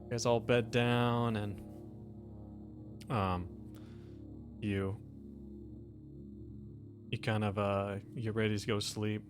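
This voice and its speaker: Male game master, low register, off-the-cuff tabletop narration over faint music. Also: level tone, slow, long pauses.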